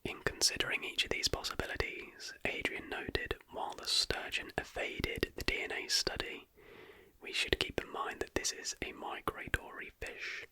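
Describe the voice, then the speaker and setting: An ASMR host reading a script in a soft whisper, with careful, deliberate phrasing.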